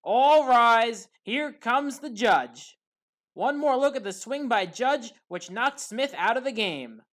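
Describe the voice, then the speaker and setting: A woman with a fairly high voice narrates sports highlights. She reads scripted play-by-play as a commentator recapping the action. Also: animated, slow.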